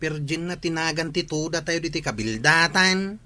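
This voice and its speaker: A man's voice: fairly high pitch, animated, normal speed, bright, forceful tone. An actor playing an earnest village watchman in a radio comedy, with an Ilocano Filipino accent. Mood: informing.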